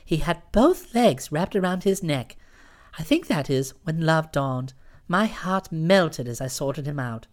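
This is a breezy young schemer from a storybook, voiced by a woman reading aloud. She talks in a lowish register with lively swings of pitch. She is teasing and matter-of-fact, never flustered. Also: measured pace.

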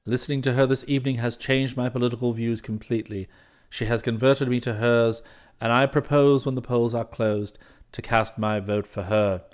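A man voicing an unflappable, deferential English gentleman's valet in an audiobook, with upper-class British English and formal, measured, discreet phrasing. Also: mid-range voice, fairly even intonation, pitched below the performer's own voice, close to an everyday voice. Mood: calm, deferential. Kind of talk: audiobook character voice.

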